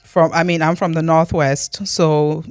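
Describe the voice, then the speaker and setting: A woman's voice: sits low, little pitch movement, measured pace, clean tone, no room sound. A middle-aged woman from Cameroon, a podcast guest talking in an easygoing, hesitant way with frequent ums and light laughs. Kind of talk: casual interview chat.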